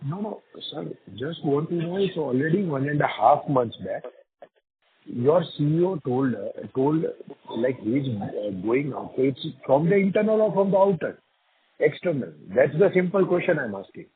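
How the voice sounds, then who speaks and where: A man's voice: on the high side, animated, normal speed. A man with an Indian accent calling in as an investor, putting questions in a blunt, insistent way. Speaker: individual investor caller. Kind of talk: spontaneous questioning on a call. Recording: tinny phone line with faint background noise.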